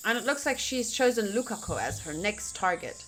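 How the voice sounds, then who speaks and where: A woman's voice: medium pitch, animated, normal speed, fairly bright tone, low-quality recording. A woman streamer reading a visual novel aloud and commenting on it, playfully reacting as she goes, with game music behind her. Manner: reacts playfully, acts out lines between reading.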